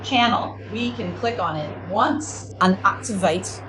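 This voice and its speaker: A woman giving a step-by-step spoken product tutorial as a demonstrator, with halting, repetitive phrasing. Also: medium pitch. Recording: clearly noisy room ambience.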